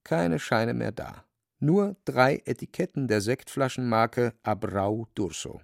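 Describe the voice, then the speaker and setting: A gravelly male narrator of middling pitch, recorded close and dry. He reads literary prose for a radio drama in a scripted storytelling style. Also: slow, dry recording. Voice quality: creaky, dry.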